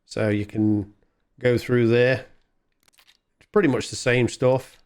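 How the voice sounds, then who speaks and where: A man's voice: medium pitch, fairly even intonation, slow pace, clean tone. A man giving casual, colloquial, self-deprecating commentary while playing a video game. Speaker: gaming video host. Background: video game music.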